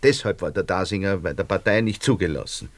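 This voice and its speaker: An actor playing a nervous, self-justifying barber in a radio drama, rambling colloquially with a Viennese accent. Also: male voice, deep voice, expressive, brisk, dry recording. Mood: indignant. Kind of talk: naturalistic radio drama dialogue.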